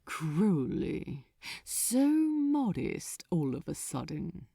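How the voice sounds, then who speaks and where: A woman voicing a smug, seductive male archdemon in an audiobook, silky and softly menacing in a theatrical way. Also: lowish voice, big pitch swings, very slow, vocal fry, slightly rough, no room sound, studio quality, full character voice. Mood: smug, patronizing. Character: seductive, sinister male archdemon, smugly patronizing. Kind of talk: dramatized fan fiction audiobook reading.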